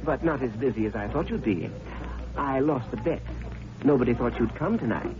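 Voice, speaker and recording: A man's voice: mid-range voice, moderate pace, close to an everyday voice. A man acting as a cynical, needling newspaper rewrite man in an old radio drama, wry and insinuating. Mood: jovial, teasing. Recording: muffled vintage radio recording.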